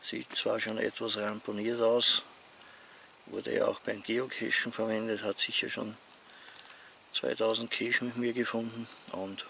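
Slow, gravelly Austrian man. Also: fairly low pitch, little pitch movement. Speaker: outdoor vlogger. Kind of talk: casual talk to camera. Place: outdoors among leafy trees. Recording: outdoor ambient noise.

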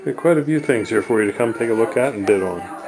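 A man's voice: lowish voice, animated, quick pace, music in the background, rough recording. Rambling man filming his antiques. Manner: rambling, plain-spoken, reads items aloud. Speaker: hauler selling collected antiques. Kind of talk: casual handheld video tour.

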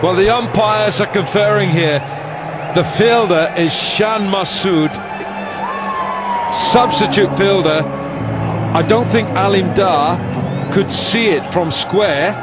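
A man giving live cricket commentary, weighing replays in a measured, slightly hesitant way. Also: high-pitched, loud music behind the voice. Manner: measured, hesitant analysis with fillers. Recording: broadcast commentary over loud crowd.

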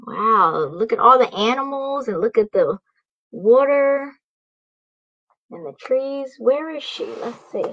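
A woman's voice: on the high side, animated, slow, fairly bright tone, muffled recording. A young adult woman, a children's author, reading a storybook aloud and explaining it simply to young listeners. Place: small indoor room.

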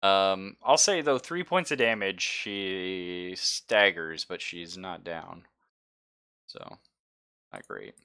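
A man's voice: sits low, expressive, takes it slowly, gravelly, bright, forceful tone, smooth. A man acting as game master, casually explaining rules and narrating the action in an informal, jokey way. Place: online game session over voice chat.

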